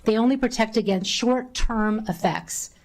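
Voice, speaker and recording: A woman's voice: a little high, moderate pace. A woman giving prepared public testimony at a council hearing, reading her statement earnestly into a desk microphone in a council chamber.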